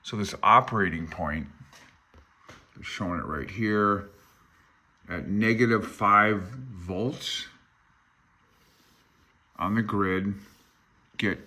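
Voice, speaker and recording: An older man with a low-pitched voice and a sharp, forward tone works through a technical text aloud. He presents it informally as a hobbyist, often breaking off into unsure, self-effacing comments of his own. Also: extremely slow, long pauses, slightly rough. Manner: hesitant, self-deprecating, unsure of himself. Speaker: amateur radio hobbyist presenter. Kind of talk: informal tutorial, reading aloud from a handbook with asides.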